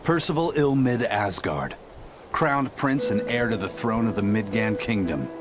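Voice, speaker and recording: A man's voice: on the low side, lively intonation, measured pace. A man voicing a grave, watchful, knowledgeable pirate in a video game, over background music. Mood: informative.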